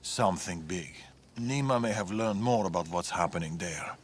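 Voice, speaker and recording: An actor playing a world-weary, guarded fugitive in ancient Greece, speaking gravely and deliberately in a video game cutscene. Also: male voice, low voice, slight background noise, lightly acted. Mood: grave, wary. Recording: game music behind the voice. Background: background music.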